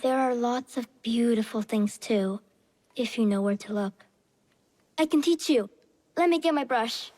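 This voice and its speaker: Game role: gentle, earnest boy painter, hesitant delivery, slightly high pitch. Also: measured pace. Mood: earnest, encouraging. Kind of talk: video game cutscene acting.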